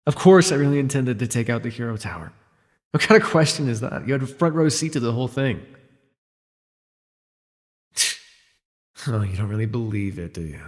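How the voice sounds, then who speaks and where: A man playing a jaded, wry imprisoned telepath supervillain in an ASMR audio roleplay, speaking in a sardonic, weary, gravelly way with sighs. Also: mid-range voice, expressive, normal speed, long pauses, long reverb tail, natural voice. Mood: defensive, forceful.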